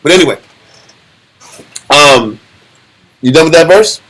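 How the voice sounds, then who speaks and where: A middle-aged man leading a Bible study, teaching in an animated, preachy way and often repeating himself. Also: a little high, unhurried.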